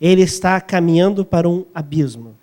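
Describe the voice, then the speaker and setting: A man with a Brazilian accent interpreting a sermon phrase by phrase, sometimes halting as he searches for wording. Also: high voice, expressive, mellow.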